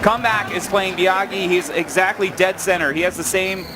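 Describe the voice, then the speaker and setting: A man giving live play-by-play as an esports tournament commentator, excitable and hyping up the players. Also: high voice, normal speed, heavy background noise.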